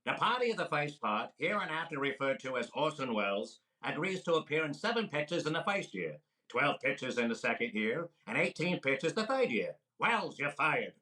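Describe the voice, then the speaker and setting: An actor playing a brash, fast-talking wisecracking comedian in a deadpan 1940s radio comedy style. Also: male voice, fairly high pitch, poor audio.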